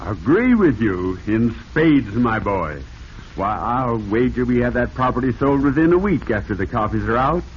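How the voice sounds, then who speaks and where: A man plays a brisk, avuncular publishing boss in a 1940s radio drama. His delivery is emphatic, with very animated pitch. The sound is dull and slightly noisy. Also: close to an everyday voice. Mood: brisk, pleased. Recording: muffled, noisy vintage radio recording.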